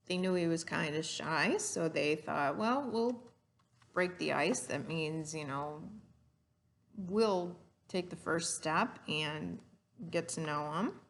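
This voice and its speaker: A woman reading a story aloud to children like a teacher, adding explanations and questions for the listener. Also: sits low. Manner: didactic, asks the listener questions.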